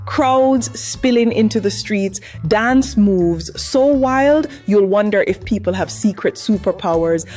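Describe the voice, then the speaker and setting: A woman's voice: mid-range voice, a little mellow. A Jamaican woman, host of a travel channel, narrating a scripted piece in an upbeat, rhythmic way as she lists what her island offers. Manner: upbeat, rhythmic listing delivery.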